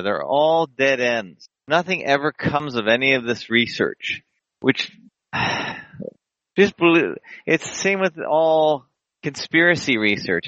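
A man's voice: medium pitch, sharp tone, no echo, lo-fi sound. A man hosting a casual internet radio show, talking in a rambling, opinionated way. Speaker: podcast host. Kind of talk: casual online radio chat.

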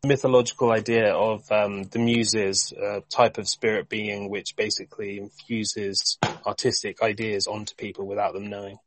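A man in casual discussion, making slow, thoughtful asides. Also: lowish voice, level tone, fairly bright tone, poor audio.

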